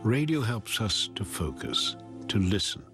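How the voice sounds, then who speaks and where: A man's voice: fairly low pitch, big pitch swings, a little slow, music in the background. A man speaking reflectively as an interviewee. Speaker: interviewee on radio.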